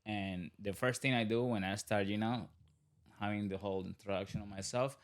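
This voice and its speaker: Colombian man, low easygoing voice. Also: fairly even intonation, normal speed. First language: Spanish. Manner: rambling, restarts, laughs often. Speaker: podcast guest, business owner. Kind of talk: casual interview talk.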